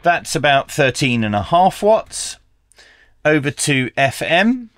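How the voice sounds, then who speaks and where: A man's voice: fairly high pitch, sing-song, slow pace, fairly bright tone, no echo, clean studio sound. A middle-aged man giving a methodical, explanatory product review in an instructional style. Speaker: radio reviewer, presenter.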